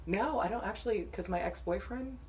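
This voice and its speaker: A young woman co-hosting a podcast, telling dating stories in a casual, animated way and laughing often. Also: mid-range voice, brisk, a little hiss or hum. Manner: animated storytelling with frequent laughter. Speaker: podcast co-host. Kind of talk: casual chat.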